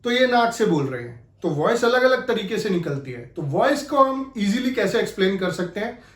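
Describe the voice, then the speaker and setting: Guy teaching acting stuff, pretty high voice, explains it right at you, roomy echo. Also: big pitch swings, moderate pace, fairly bright tone. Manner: explanatory, addresses the viewer directly. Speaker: acting coach and presenter. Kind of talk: instructional video talk with spoken demonstrations. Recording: echoey, reverberant room.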